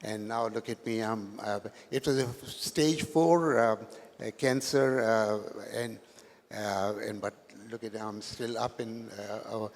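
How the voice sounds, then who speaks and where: An older man from Pakistan, a retired pilot speaking as a guest on stage, talking haltingly with many fillers and restarts. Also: on the low side, fairly even intonation. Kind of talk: halting live stage interview. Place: large event hall. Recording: long hall reverb, faint noise.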